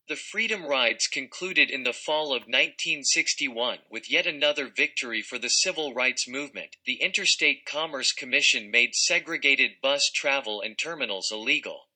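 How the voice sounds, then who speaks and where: A man's voice: medium pitch, expressive, moderate pace, pushed and bright, low-quality recording. A man reading an article aloud as scripted narration.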